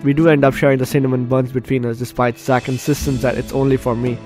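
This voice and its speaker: A man's voice: mid-range voice, flat delivery, fast, a little mellow. Man reading game text, stumbling, over music. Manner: stumbling reader, repeats and corrects words. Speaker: gaming video host.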